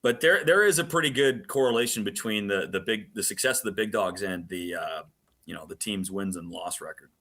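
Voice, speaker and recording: A man's voice: fairly low pitch, brisk, pushed and bright. A man speaking as a play-by-play announcer giving detailed, emphatic analysis in a sports radio interview.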